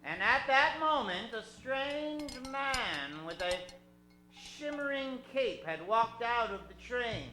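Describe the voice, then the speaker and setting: A woman's voice: a little high, lively intonation, takes it slowly, sharp tone. A woman narrator telling an improvised story in old-time radio style. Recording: roomy, faint music behind.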